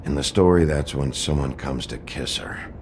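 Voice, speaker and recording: An actor playing a gruff, brooding male detective in a noir video game, with a gravelly voice and a terse, deadpan, authoritative delivery. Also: very deep voice, moderate pace, slight background noise. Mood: pensive. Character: gruff, brooding wolf detective in human form.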